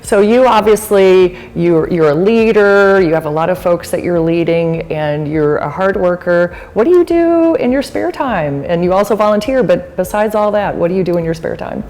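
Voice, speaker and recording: Low-voiced effusive woman host. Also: normal speed, hardly any pauses, a little mellow, in a large hall. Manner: effusive, enthusiastic reactions. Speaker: interview host. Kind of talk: interview chat.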